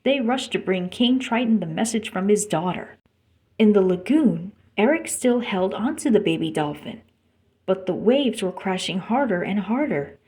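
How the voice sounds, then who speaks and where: A woman's voice: medium pitch, animated, normal speed, darkish tone. A woman reading a children's storybook aloud as narrator. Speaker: storybook reader.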